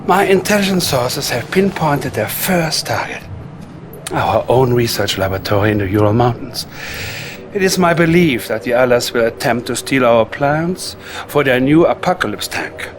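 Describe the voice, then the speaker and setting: An actor playing a coldly calm, scheming Soviet psychic advisor in a video game cutscene, with a Russian accent and measured, condescending menace. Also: male voice, medium pitch, music in the background, natural voice. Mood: smooth, ominous.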